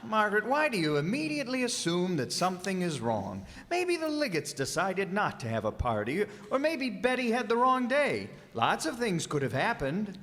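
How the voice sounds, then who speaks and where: An actor playing a patient middle-aged father in a family radio drama, gently teasing and reasonable. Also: sits high, wildly swooping pitch, normal speed, few pauses, a little hiss or hum, exaggerated voice. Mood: reassuring. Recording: reverberant live hall.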